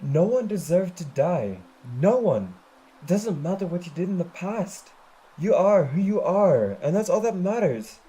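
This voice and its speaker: A reader putting on a stern, caring teenage girl who speaks firmly and loudly. The voice is mellow and soft in tone, lively in pitch, with faint music behind. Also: a little slow, husky, poor audio. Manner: stern, firm.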